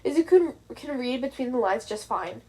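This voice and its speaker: Woman w a highish voice reading fanfic out loud, amateur narrator type, chatty asides. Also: animated, fairly quick, breathy edge. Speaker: amateur fan fiction audiobook reader. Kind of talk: scripted story narration with casual asides.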